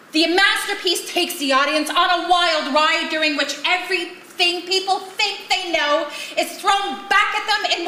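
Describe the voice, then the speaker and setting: An echoey, faintly noisy stage recording of a woman acting as a stubborn director. The voice is very high and theatrical, insisting bossily on an absurdist playwright's genius in a live amateur comedy. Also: sharp tone, heavily acted.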